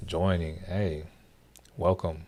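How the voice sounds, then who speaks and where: Deep-voiced man rambling casually. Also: little pitch movement, slow, darkish tone. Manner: rambling, many fillers and restarts. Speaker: livestream host. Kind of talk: casual livestream chat with viewers.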